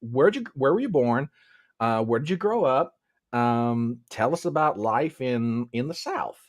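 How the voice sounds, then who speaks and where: A man hosting a relaxed interview, teasing his guest and asking long, rambling questions. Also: mid-range voice, unhurried. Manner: playful, teasing, lively questions. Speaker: podcast host. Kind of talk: casual interview chat.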